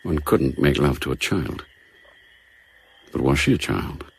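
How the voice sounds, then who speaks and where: An actor narrating a spy story in a radio drama, in a measured, reflective manner. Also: male voice, rumbling low, lively intonation, creaky, slightly rough, full character voice. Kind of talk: scripted narration, audio drama.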